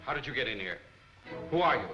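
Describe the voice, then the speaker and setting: An actor playing a defiant, nervous crooked lawyer in a 1940s film serial. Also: male voice, mid-range voice, animated, fast talker, pushed and bright, soft background music, rough recording. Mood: defiant, wary.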